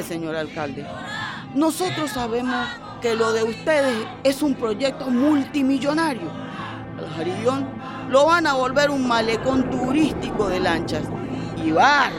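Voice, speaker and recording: Defiant community leader mother played by a woman, radio play. Highish voice, deliberate slow delivery, firm and declamatory. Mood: defiant, demanding. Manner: firm, insistent, declamatory protest. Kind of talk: radio drama speech.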